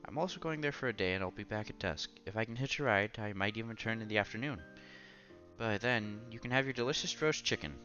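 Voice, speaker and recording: A man with a fairly low, bright, forward voice reads a visual novel aloud in a casual let's-play style. He trips over words and corrects himself, over faint music on a slightly dull mic. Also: fast. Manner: stumbles over words while reading, self-correcting. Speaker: host reading a visual novel.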